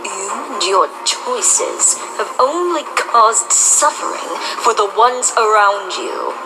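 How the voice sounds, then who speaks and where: A woman's voice: on the high side, lively intonation, rough recording. A woman acting a tormented, bitter and haughty pony princess in a theatrical fan audio drama. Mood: cold, bitter. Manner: theatrical, slow, heavy pauses. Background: dramatic music underneath.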